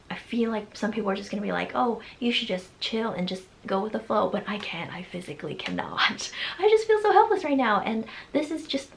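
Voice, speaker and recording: Woman, early 20s, anxious rambling vlogger with a gravelly fry, faint noise floor. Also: mid-range voice. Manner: anxious, rambling, laughs often. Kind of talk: casual confessional vlog.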